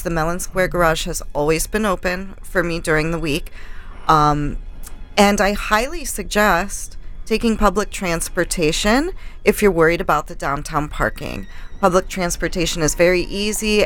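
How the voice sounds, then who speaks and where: A woman visiting a radio studio as a guest pianist, explaining things in a clear, practical, reassuring way. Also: on the low side, a bit bright.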